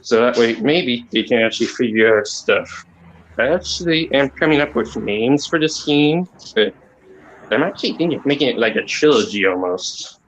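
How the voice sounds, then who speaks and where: Man, accented, soft-spoken, rambling, halting, casual call chat, mid-pitched voice. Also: expressive, highs cut off. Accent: non-native or regional accent. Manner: quiet, rambling, halting speech. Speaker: channel host.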